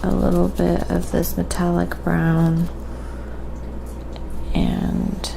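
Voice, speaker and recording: A soft-spoken woman live-streaming a quiet ASMR painting tutorial, chatting casually and sometimes dropping to a whisper. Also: on the low side, level tone, extremely slow, creaky, background noise.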